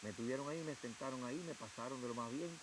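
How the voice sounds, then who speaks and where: A man's voice: mid-range voice, fast. A man with a Cuban Spanish accent, a guest giving emotional personal testimony in a television interview. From Cuba. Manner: emotional storytelling, acting out quoted officials. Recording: hissy TV broadcast audio.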